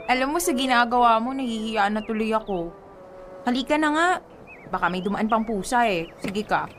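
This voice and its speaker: A woman's voice: fairly high pitch, moderate pace, pauses often, a bit bright, faint music behind, natural voice. Sweet, teasing Filipina girlfriend played by a woman. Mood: light, apologetic. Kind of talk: Tagalog radio drama acting.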